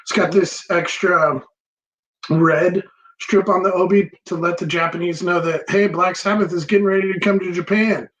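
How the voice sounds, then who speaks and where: A man hosting a casual chat about records, relaxed and rambling with many pauses and ums. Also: high voice, poor audio. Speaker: record store host.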